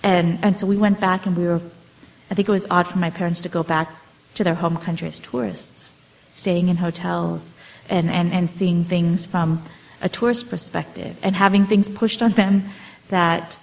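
Halting woman author answering questions. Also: fairly low pitch, fairly even intonation, gravelly, darkish tone, low-quality recording. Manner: hesitant, many fillers and restarts. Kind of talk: casual storytelling talk.

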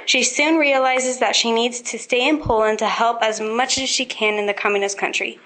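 A woman's voice: fairly high pitch, steady tone, normal speed, fairly bright tone, low-quality recording. A teenage girl giving an informal prepared book review for a podcast. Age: teens.

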